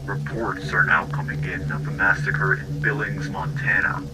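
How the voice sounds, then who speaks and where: A man voicing a grave, formal news anchor in a scripted horror broadcast, with measured, solemn delivery. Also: sits low, steady tone, heavy background noise, lightly acted. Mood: grave, urgent. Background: music.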